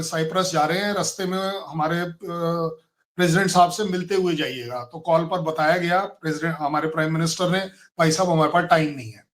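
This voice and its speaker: A man from India, a retired army colonel and guest, arguing his case point by point on a talk show. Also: fairly high pitch. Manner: emphatic, argues point by point.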